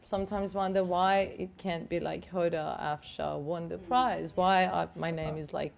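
A woman's voice: lowish voice, steady tone, normal speed, vocal fry. A woman on a gallery panel, asking questions in a casual way with many fillers. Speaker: panelist and photographer. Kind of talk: panel discussion. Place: small gallery room with an audience.

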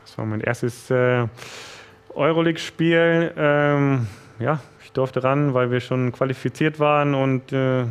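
Smooth-voiced young man from northern Germany. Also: mid-range voice, fairly even intonation. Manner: halting, many fillers. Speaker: goalkeeper guest. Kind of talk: studio interview. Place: TV studio. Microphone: headset.

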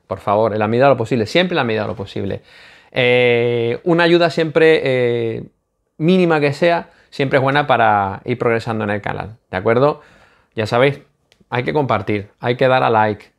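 Animated Spanish man vlogging at home. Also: mid-range voice. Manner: animated, repeats phrases, asks "¿de acuerdo?". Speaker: spearfishing channel host. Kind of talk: casual vlog talk to camera. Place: small home office with desk and shelves. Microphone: lapel mic.

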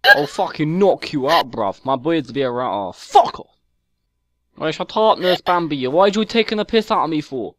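A man doing a hot-headed young chav who lisps and mumbles his slang, ready to fight anyone. The voice sits a little high and leaps all over the place. It is recorded dry and close on a headset. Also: lively intonation, sharp tone, dry recording. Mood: belligerent. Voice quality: lisp. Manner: slangy, mumbling, quick to threaten. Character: young male chav, aggressive, touchy, lisping. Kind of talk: trolling in game voice chat.

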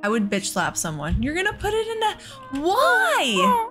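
A woman's voice: extremely high pitch, wildly swooping pitch, a little slow, loud music behind the voice. A woman hosting a casual let's play, with an exasperated, sarcastic way of talking. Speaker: gaming host.

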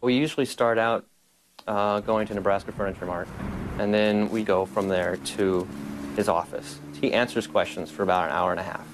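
A man recalling a routine outing in a relaxed, matter-of-fact interview, with fillers along the way. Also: on the low side, moderate pace, background noise. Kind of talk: casual interview recollection.

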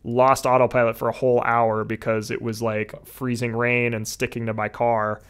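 A young man in his twenties chatting casually on a podcast video call, relaxed, with lots of fillers. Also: medium pitch, moderate pace, smooth. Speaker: podcast guest. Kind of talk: casual chat. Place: home room, indoors. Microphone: headset with video call.

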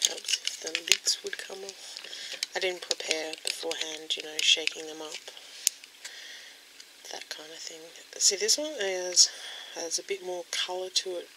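A young woman with a croaky voice casually showing nail polish at her desk at home, rambling with lots of ums. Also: on the low side, steady tone, unhurried. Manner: chatty, rambling with many ums. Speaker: beauty vlogger. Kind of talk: casual product demo. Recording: home recording with clear background noise.

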